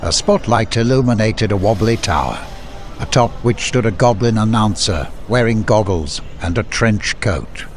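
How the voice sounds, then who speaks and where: A man's voice: lowish voice, moderate pace, slight background noise. A man reading scripted fantasy narration as an audiobook storyteller.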